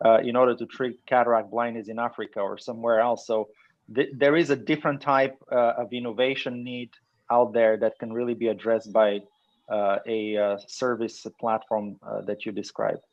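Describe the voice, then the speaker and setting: A man from Bulgaria speaking in a measured, thoughtful way as a panelist in an online webinar. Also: medium pitch, fairly even intonation. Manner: measured, thoughtful, some restarts. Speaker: webinar panelist asking questions. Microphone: computer mic on video call. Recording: muffled lo-fi webinar audio.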